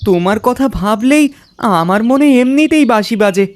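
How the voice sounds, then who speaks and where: A woman voicing a brave, lovestruck young shepherd boy in an animated fairy tale. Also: on the high side, moderate pace, noisy background, close to an everyday voice. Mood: tender. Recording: soft music and birdsong behind.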